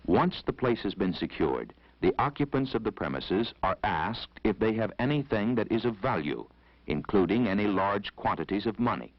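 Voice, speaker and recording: American guy narrating an old 70s training film, formal and measured, voice moves around a lot, kinda dull muffled sound. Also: fairly low pitch, very animated, no room sound. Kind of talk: scripted instructional narration.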